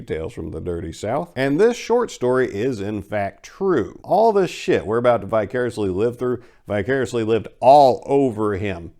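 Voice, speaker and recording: Theatrical storyteller man with wide-swinging pitch. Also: a little mellow. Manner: theatrical, emphatic, wry. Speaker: writer and channel host performing dramatic readings. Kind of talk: dramatic first-person story reading.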